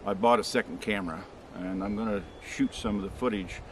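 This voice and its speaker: An older man hosting a homestead vlog, talking casually and haltingly to the camera outdoors in a pine forest, with lots of fillers and restarts. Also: lowish voice. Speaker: homestead channel host. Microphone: camera mic from a few meters away. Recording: noisy outdoor camera audio.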